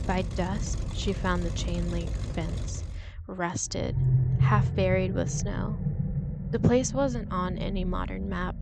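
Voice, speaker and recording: A woman narrating a scripted suspense story in a measured, deliberate way, with short dramatic pauses. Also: on the low side, creaky, heavy background noise.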